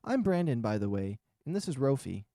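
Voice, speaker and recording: A man's voice: mid-range voice, sing-song, fairly quick, warm tone, dry recording, clean studio sound. A man voicing a polite, earnest young male animal character in a visual novel. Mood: polite, grateful.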